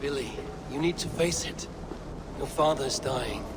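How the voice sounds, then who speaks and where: An actor playing a resolute adult Viking warrior in a video game, an earnest and blunt friend. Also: male voice, medium pitch, moderate pace, lightly acted. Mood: stern, earnest. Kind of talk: video game cutscene acting. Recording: noisy game ambience behind dialogue.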